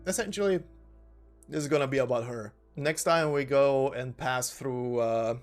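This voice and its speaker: A man with a medium-pitched voice narrates a gaming video in an informal, conversational style. He shifts between loose commentary and read-out game lore. Faint music plays behind him. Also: normal speed. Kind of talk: casual commentary, reading game lore aloud. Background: background music.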